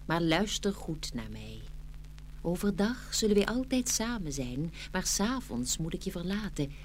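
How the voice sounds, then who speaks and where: A woman playing a gracious, gentle fairy of paradise in a children's fairy-tale radio play, measured and tender. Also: on the low side, sing-song, slight background noise. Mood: solemn, tender.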